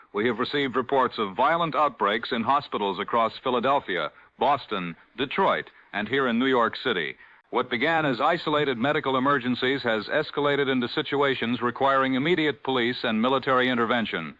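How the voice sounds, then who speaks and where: Casting: male actor as authoritative American radio announcer of the 1940s, emphatic, sharp-toned, close dry sound, slightly dull band. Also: mid-range voice, dry recording, close to an everyday voice. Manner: formal, clipped, emphatic announcing. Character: urgent, authoritative 1940s radio news anchor. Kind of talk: 1940s radio news bulletin.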